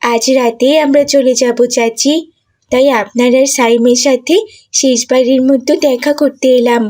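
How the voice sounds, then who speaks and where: A woman's voice: high-pitched, little pitch movement, a little slow, darkish tone. A woman voicing a caring, polite young village girl in a cartoon. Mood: polite, sad. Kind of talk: cartoon voice acting.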